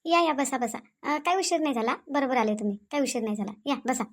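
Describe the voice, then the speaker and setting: A fussy older village mother-in-law voiced by a woman keeps a cartoon household moving, with a Vidarbha Marathi accent. Her voice sits very high, and she hurries and welcomes guests in the same breath. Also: animated, fast. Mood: fussy hosting. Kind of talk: cartoon voice acting.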